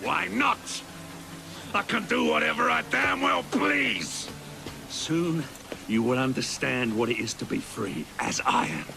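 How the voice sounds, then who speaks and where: Theatrical Victorian crime lord, British accent, gravelly, menacing, grandiose, video game, loud music. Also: male voice, high voice, lively intonation, measured pace, full character voice. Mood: defiant, menacing. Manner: theatrical, grandiloquent, swooping delivery.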